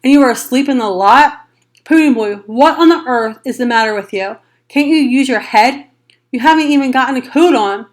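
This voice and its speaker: A woman reading aloud, voicing a stern older brother who shouts and scolds. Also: a little high, normal speed, lightly acted. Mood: angry, shouting. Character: furious teenage older brother, stern and worn.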